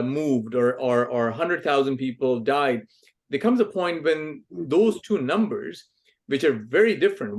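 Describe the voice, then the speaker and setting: A man in his forties from Pakistan, a guest author answering interview questions thoughtfully, with frequent ums and restarts. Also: fairly high pitch. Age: 40s. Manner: thoughtful, many fillers and restarts.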